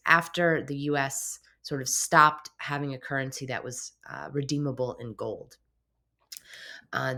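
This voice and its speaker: A woman hosting an explainer show, talking in a conversational, hedging way, a little hoarse and raspy from a cold. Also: low-pitched, fairly bright tone. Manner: conversational, hedging with filler phrases. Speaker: host. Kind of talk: explainer talk to camera. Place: home office.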